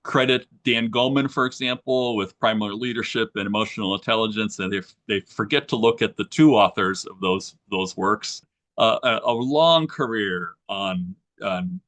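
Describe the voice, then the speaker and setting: A man hosting a session who introduces the guest speaker in a halting way, repeating words as he goes. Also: fairly low pitch, a bit bright. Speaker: host.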